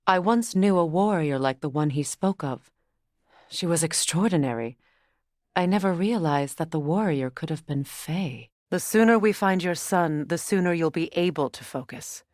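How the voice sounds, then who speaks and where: An actress playing a proud middle-aged Norse goddess and warrior companion in a video game, with formal, grave diction. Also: female voice, fairly low pitch, animated, moderate pace, a little mellow, dry recording, clean studio sound, natural voice. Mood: earnest, solemn.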